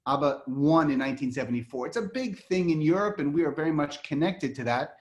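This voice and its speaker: A man's voice: a little high, lively intonation, fast, highs cut off. A man from Israel leads an online history lecture over a video call, explaining in a conversational way with frequent fillers and restarts. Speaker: lecturer and guide for an online series.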